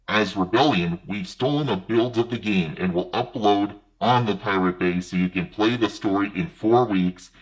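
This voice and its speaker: A man reading aloud as an angry anonymous male game studio insider, delivering a written post in a steady, even way. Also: fairly low pitch, voice pushed down, natural voice, low-quality recording.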